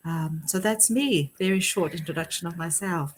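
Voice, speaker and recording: A middle-aged woman raised in Fiji speaks as an interview guest, her voice sitting a little low. She talks at a relaxed, reflective pace, breaking into easy laughter often. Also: slight background noise. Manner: warm, laughs often, thoughtful pauses. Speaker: poet, podcast guest. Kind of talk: conversational interview.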